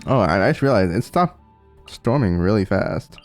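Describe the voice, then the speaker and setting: A woman's voice: extremely low pitch, animated, normal speed, darkish tone, soft background music. A woman giving casual, playful commentary while she plays a video game, in an offhand way. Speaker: gaming video host.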